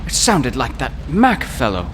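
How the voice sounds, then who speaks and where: An actor playing a fussy, wordy gentleman scholar who speaks in flowery, old-fashioned phrases and gets excited easily, in old-time radio drama style. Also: medium pitch, measured pace, a little hiss or hum. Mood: excitable, wordy. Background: music.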